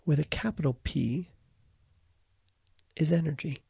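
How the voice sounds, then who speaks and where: A man leading a guided meditation as its teacher, speaking in short, deliberate phrases with pauses and a gravelly, husky voice. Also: fairly high pitch, warm tone, dry recording.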